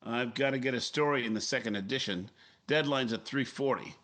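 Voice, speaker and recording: A man's voice: medium pitch, brisk, fairly bright tone, natural voice. A man playing a determined, fatalistic newspaper editor, terse and matter-of-fact, in a 1950s-style radio drama. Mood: resolute calm.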